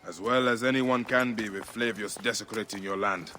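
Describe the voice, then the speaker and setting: An actor playing a grieving, hardened Egyptian warrior bent on revenge in a video game, grave and intense. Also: male voice, on the low side, normal speed, bright, forceful tone, a little hiss or hum. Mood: grave resolve. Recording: echoey reverb with clear music.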